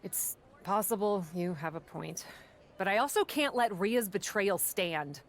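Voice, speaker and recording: A woman's voice: medium pitch, expressive, measured pace. A woman playing a brutal, defensive female pro wrestler with dry wit in a video game cutscene. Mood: deadpan, dry.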